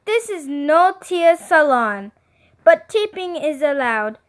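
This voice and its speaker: A woman puts on a little girl playing a grand hairstylist in a storybook. The voice is high, with a mock French accent. She is bratty and theatrical, coaxing and insistent. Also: big pitch swings, slow, exaggerated voice. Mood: coaxing, breezy.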